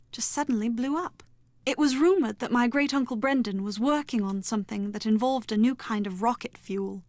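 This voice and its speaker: An actress playing a well-bred young bride-to-be in a video game, talking in a proper, wordy, insistent way. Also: female voice, on the high side, no echo, close to an everyday voice.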